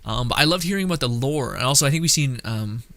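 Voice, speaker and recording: A man's voice: mid-range voice, animated, quick pace, bright, forceful tone, dry recording. Casual male game streamer thinking aloud. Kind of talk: casual gameplay commentary.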